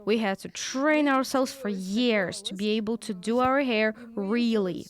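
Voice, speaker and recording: A woman giving a lively, conversational first-person voice-over translation of an interview. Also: a little high, moderate pace.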